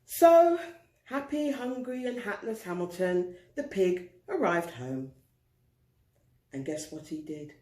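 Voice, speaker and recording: A woman tells a story to young children in an echoey room. Her pitch is animated and her pace slow, with expressive storytelling delivery. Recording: roomy echo.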